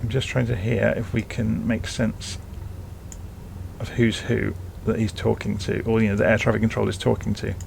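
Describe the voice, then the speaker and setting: A man with a very gravelly, creaky voice presents a casual software tutorial. He explains each step informally, as if showing someone his screen. A faint noise sits behind his voice. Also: on the low side, level tone, moderate pace. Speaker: flight simulation tutorial presenter.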